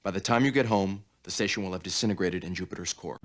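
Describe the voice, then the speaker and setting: A man acting as a military mission briefer in a video game. His voice is notably low and he rattles off instructions quickly, in a brisk, businesslike way. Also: close to an everyday voice.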